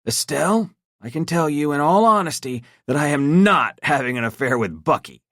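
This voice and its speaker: A man's voice: fairly high pitch, lively intonation, moderate pace, fairly bright tone, no echo, clean studio sound, voice pushed up. A man voicing a smug older leader who laughs off his wife's accusations and talks down to others. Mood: amused, mocking. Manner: amused, dismissive, laughing. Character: smug older male cult leader, mocking.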